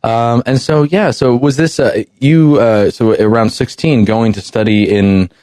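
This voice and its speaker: A man's voice: mid-range voice, steady tone, measured pace, darkish tone, dry recording, studio quality. A man hosting a podcast, introducing and interviewing a guest. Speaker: podcast host. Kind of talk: scripted intro and interview questions.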